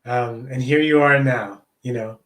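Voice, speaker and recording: Slow-talking man who stutters, interviewing. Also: mid-range voice, steady tone, a bit bright, poor audio. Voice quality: stutters, with repeated words and blocks. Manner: slow, supportive, many fillers. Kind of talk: casual interview.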